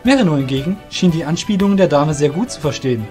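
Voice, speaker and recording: A man's voice: a little high, expressive, fast talker, dark tone. A man narrating a fantasy audio drama from a script as the storyteller. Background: faint music.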